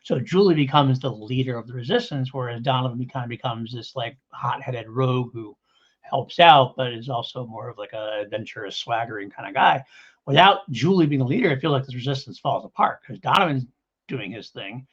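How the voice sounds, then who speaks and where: A man's voice: mid-range voice, brisk, a bit bright, slightly rough, muffled recording. A man co-hosting a casual review chat, rambling and enthusiastic as he restates his points. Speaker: podcast co-host reviewing audio dramas.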